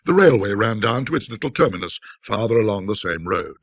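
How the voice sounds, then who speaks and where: A man reads a classic ghost story in a low, husky voice. His delivery is measured and theatrical, with wide, lively swings of intonation. The recording is dry but very dull and muffled, like lo-fi audio. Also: no echo. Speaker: narrator. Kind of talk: scripted ghost story narration in classic British storytelling manner.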